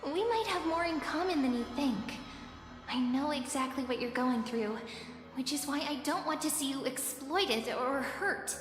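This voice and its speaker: A woman voicing a gentle, mysterious and knowing young woman in an anime-style video game, measured and reassuring. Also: high-pitched, natural voice. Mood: warm, knowing. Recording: echoey reverb over game music.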